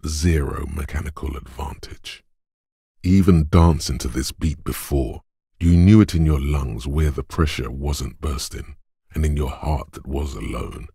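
An actor playing the gruff, domineering inner voice of physical strength in a video game, raspy and deliberate, narrating like a tough coach. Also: male voice, warm tone, no room sound, studio quality, heavily acted. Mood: grave, intense. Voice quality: very deep, gravelly, raspy. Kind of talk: scripted game narration, dramatic second-person.

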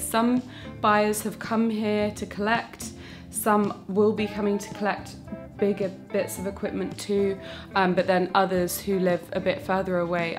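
A young woman in her twenties, talking casually to camera as a vlogger in her small home bakery kitchen, with lots of fillers and restarts. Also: mid-range voice. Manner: chatty, warm-hearted, many fillers and restarts. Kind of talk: casual vlog talk to camera.

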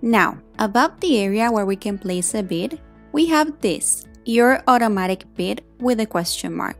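A woman narrating a software tutorial in an instructional way, explaining step by step with casual check-ins. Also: mid-range voice, expressive, moderate pace. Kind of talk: instructional screen-recording narration. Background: background music.

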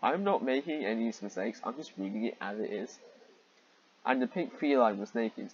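A man's voice: medium pitch, expressive, moderate pace, muffled recording, slight background noise. A man reading amateur fiction aloud with sarcastic commentary and exasperated, incredulous asides.

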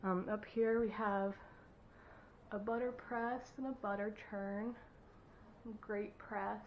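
A woman's voice: mid-range voice, fairly even intonation, slow, highs cut off, a little hiss or hum. Chatty woman giving a cabin tour. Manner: chatty, filler-heavy, enthusiastic. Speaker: homestead vlogger giving a home tour. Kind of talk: casual home tour narration. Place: wood-paneled cabin kitchen, indoors.

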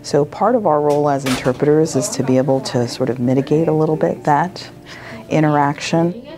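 A woman's voice: low-pitched, a little fast, music in the background. A middle-aged woman explaining her work in a documentary interview, recorded in an office. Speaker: interpreter services manager. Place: office indoors.

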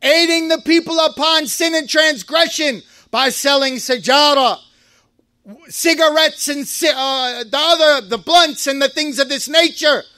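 A man giving a Friday sermon in a mosque, preaching with passion, rising to shouts and reciting Arabic verses. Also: very high voice, no echo.

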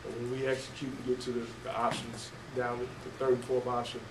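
An older man coaching basketball, answering press questions haltingly with many fillers and restarts. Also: mid-range voice, completely flat delivery. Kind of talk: postgame press conference answers. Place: press room at a table before a team backdrop. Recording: echoey room, distant mic, noisy.

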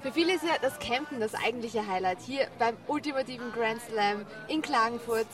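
A woman's voice: fairly high pitch, brisk. A young woman with an Austrian accent, interviewing campers as a roving reporter, chatty and teasing. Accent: Austrian German. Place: outdoor campsite. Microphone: handheld mic. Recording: busy outdoor crowd and music, slightly distant.

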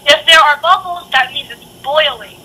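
A woman's voice: sits high, normal speed, sharp tone. A young woman chatting casually over a phone call, giving a friend simple cooking advice. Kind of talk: casual chat. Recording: noisy phone call audio.

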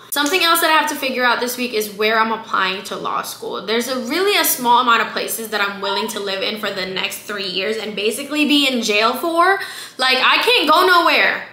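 A woman's voice: fairly high pitch, expressive, normal speed, sharp tone, a little hiss or hum. A woman in her twenties with an American accent, a non-native English speaker, chatting casually and emphatically to camera as a slangy vlogger. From South Florida, immigrant from the Philippines. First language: a language other than English. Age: 20s. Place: small bare room indoors. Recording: echoey room.

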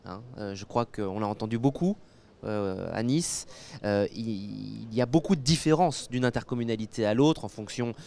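A man in his thirties with a French accent speaks in a fairly low, smooth voice. He explains things like an academic being interviewed, pausing and restarting as he measures his words. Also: a little mellow. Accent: French from France. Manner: hesitant, measured, with frequent pauses and repeated words. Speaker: guest expert, academic geographer. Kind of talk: interview answers, analytical explanation. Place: indoor interview set at a convention. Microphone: handheld microphone.

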